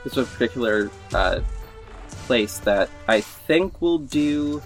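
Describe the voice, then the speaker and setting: Male podcast host, medium pitch, informal and enthusiastic, unscripted and a bit halting, music bed behind. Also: animated. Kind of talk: casual unscripted update to listeners. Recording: music under the voice.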